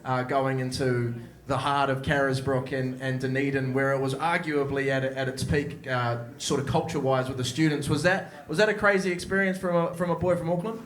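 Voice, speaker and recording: Eager New host in an echoey room. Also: male voice, medium pitch, fairly even intonation, a little fast, fairly bright tone. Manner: enthusiastic, stumbling restarts. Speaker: podcast host. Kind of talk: casual podcast interviewing.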